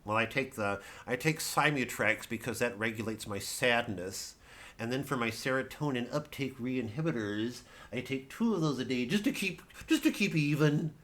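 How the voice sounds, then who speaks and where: Guy doing an earnest medicated performer type, halting, mock sincere, satire bit. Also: medium pitch, expressive, fairly bright tone, close to an everyday voice. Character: earnest male performer proudly reciting his many medications.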